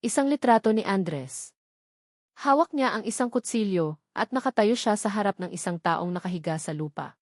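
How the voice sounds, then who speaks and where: A woman's voice: medium pitch, animated, normal speed, a little mellow, no echo, clean studio sound. A woman with a Filipino accent narrating a dramatic first-person love story from a script, earnestly and in short dramatic phrases.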